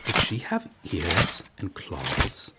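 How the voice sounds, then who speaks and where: A man's voice: low voice, wildly swooping pitch, takes it slowly, heavy background noise, full character voice. A man playing a proud, courteous medieval knight out of his time in an audio roleplay, with formal, archaic, theatrical phrasing. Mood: puzzled, resolute. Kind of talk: ASMR roleplay acting.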